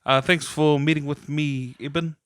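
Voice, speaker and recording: A man's voice: a little high, fairly even intonation, moderate pace, a bit bright, clear, resonant tone, no echo, clean studio sound. A man, a podcast co-host talking sport casually.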